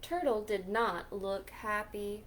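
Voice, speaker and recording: A woman hosting a children's storytime, reading a picture book aloud in a deliberate, expressive way. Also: mid-range voice. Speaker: children's librarian storytime host.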